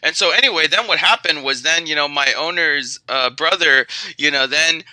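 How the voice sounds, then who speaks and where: A man telling an improvised, rambling children's-style story in character, repetitive and excitable. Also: fairly high pitch, normal speed, no room sound, rough recording. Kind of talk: improvised spoken storytelling in character as a magical bear.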